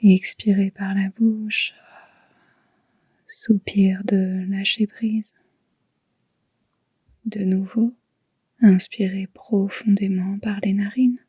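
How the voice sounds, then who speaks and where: Female yoga nidra guide, soft and extremely airy, mellow tone, unhurried and calming, recorded dry. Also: medium pitch, dry recording. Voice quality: soft, breathy, whispery. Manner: soothing, hushed, unhurried. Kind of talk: slow guided relaxation meditation.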